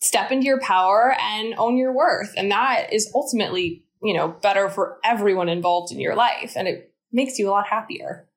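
A woman's voice: mid-range voice, moderate pace, few pauses. A woman in her thirties speaks as an interview guest. She has a mid-range voice and talks in long, flowing explanations. Her manner is earnest and encouraging. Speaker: guest, founder of a mental fitness studio. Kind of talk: interview conversation.

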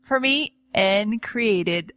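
A chatty woman co-hosting a relaxed podcast, winding through a long story. She laughs often, trails off and restarts her sentences. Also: medium pitch, drawn-out words, no echo. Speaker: real estate agent and podcast host. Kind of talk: casual chat.

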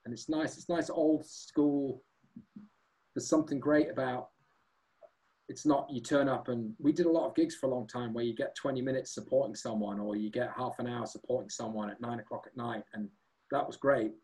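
A man who sings and plays guitar in a band, chatting as a guest in a relaxed, rambling, self-deprecating way. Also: mid-range voice, normal speed, rough recording. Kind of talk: casual chat.

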